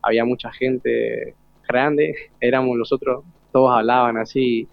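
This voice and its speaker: A man's voice: medium pitch, fairly even intonation, measured pace, gravelly, dry recording. Teenage Argentine guy on tinny line. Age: teens, about 17. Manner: informal, youthful storytelling. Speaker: student guest. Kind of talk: casual interview talk. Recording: tinny, phone-like live broadcast sound.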